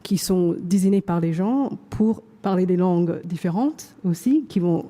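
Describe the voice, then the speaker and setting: A woman's voice: fairly low pitch, a little slow, dark tone. A woman whose first language is not French, making a workshop remark carefully and searching for words. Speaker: workshop participant. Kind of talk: academic discussion remark.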